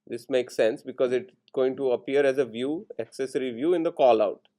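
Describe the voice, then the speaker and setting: A man lecturing in programming, explaining each step in short instructional phrases with pauses between them. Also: mid-range voice, warm tone. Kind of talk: step-by-step classroom coding tutorial.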